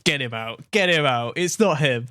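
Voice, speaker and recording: A man's voice: mid-range voice, animated, normal speed, pushed and bright, no echo, studio quality. A British man hosting a reaction video, chatting casually and thinking aloud with fillers and restarts.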